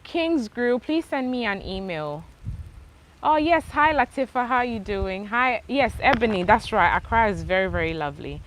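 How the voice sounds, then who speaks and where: A woman's voice: fairly high pitch, a little hiss or hum, low-quality recording. A young woman with a mixed Ghanaian, English and Australian accent, chatting casually outdoors as she answers viewers' questions live. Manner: chatty, relaxed, thanks viewers, spells things out slowly. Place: outdoors under a palm tree.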